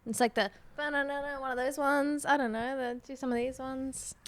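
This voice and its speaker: A woman's voice: sits high, fairly even intonation, fairly quick. A woman hosting a podcast in casual, comedic chat with playful, informal banter. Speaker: podcast host.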